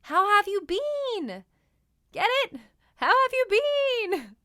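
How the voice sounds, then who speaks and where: Woman voicing an awkward, slow-talking little storybook bean. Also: very high voice, very animated, sharp tone, no echo, clean studio sound, pitched above the performer's own voice, full character voice. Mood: goofy, jokey. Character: awkward, self-deprecating bean narrating his storybook life.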